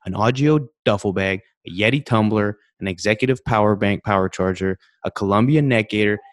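A man's voice: lowish voice, brisk. A middle-aged man from Canada hosting a podcast over a video call, chatty and upbeat with rambling asides. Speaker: podcast host. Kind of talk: casual interview chat.

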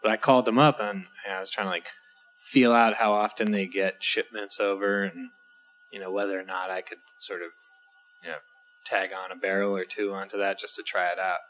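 A man co-hosting a casual podcast who tells relaxed anecdotes and acts out what people said to him. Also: on the low side, fairly quick, low-quality recording. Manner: relaxed anecdote-telling, acting out quotes. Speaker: podcast co-host, distiller.

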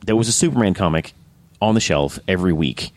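A man with a deep, lively voice hosts a casual podcast, slightly hoarse and eager. He rambles through his points with plenty of fillers and restarts. The sound is dry and close. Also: fast talker, no echo. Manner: enthusiastic. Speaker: podcast host.

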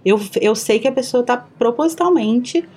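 A woman's voice: fairly high pitch, lively intonation, moderate pace, darkish tone, husky. A woman with a strong Minas Gerais accent, co-hosting a book-discussion podcast in a playful, chatty way. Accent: Minas Gerais Portuguese. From Minas Gerais, Brazil. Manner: playful, joking, chatty with many fillers. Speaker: podcast co-host. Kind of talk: casual chat.

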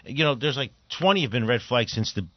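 A man hosting a talk radio show, joking loudly and laughing often. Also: medium pitch, animated, fairly quick, no room sound. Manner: joking, loud, laughs a lot. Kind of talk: casual talk radio banter. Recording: dull lo-fi dry studio sound.

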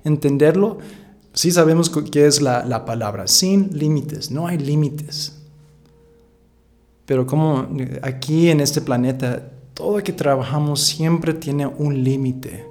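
A man's voice: fairly high pitch, takes it slowly, a little mellow. A man whose first language is English, talking in a casual, rambling and thoughtful way as a podcast host. He often restarts his sentences and chuckles now and then. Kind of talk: casual reflective monologue.

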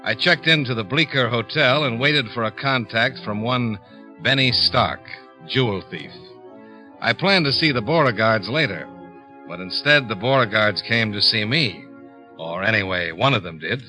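Bright-voiced, wry American radio detective. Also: male voice, mid-range voice, expressive, normal speed, faint music behind. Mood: matter-of-fact. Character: wry, businesslike male freelance insurance investigator. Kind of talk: 1950s radio detective acting, first-person narration. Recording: 1950s radio drama recording.

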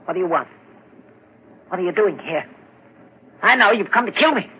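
A man's voice: high voice, lively intonation, moderate pace, slightly rough, exaggerated voice. An actor playing a terrified old man in a 1940s radio drama, crying out in a panicked shriek. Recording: muffled old radio broadcast.

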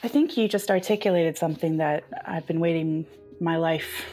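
A woman hosting a podcast interview, asking measured questions and affirming briefly. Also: low voice, darkish tone, soft background music. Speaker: podcast host. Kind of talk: interview conversation.

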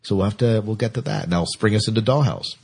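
A man's voice: lowish voice, fast talker, creaky, darkish tone, slightly rough. A man co-hosting a podcast in a casual, chatty style. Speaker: podcast co-host.

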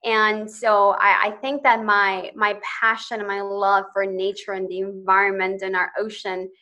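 A woman in her twenties from Ecuador talks as a podcast guest. Her tone is bright and forceful, at a middle pitch. She tells her story earnestly and eagerly, laughing lightly between phrases. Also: level tone, normal speed. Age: 20s. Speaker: podcast guest, social entrepreneur. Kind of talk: personal storytelling in interview.

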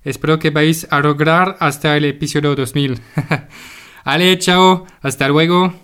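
A man's voice: fairly high pitch, fairly even intonation, a little slow, fairly bright tone, clean tone. A man speaking learner Spanish informally and hesitantly, as if leaving a voice message for a show he follows. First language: French. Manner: hesitant, searching for words. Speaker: podcast listener sending a voice message.

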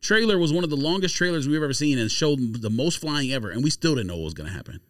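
A man's voice: medium pitch, animated, fast talker, no echo, studio quality. A man co-hosting a podcast, debating films casually in an emphatic, argumentative way with frequent interjections. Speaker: podcast co-host.

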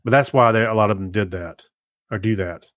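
A man co-hosting a podcast in casual chat, speaking informally and haltingly as he corrects himself. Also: fairly low pitch, fairly bright tone, dry recording, studio quality. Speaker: podcast co-host.

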